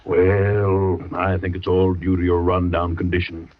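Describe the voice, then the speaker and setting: An actor playing a family doctor who calmly soothes a frightened patient and waves off her fears. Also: low-pitched, measured pace, dry recording. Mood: soothing. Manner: soothing, brushes fears off as nonsense. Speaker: radio drama actor. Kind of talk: old-time radio acting. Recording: dry, dull old radio recording.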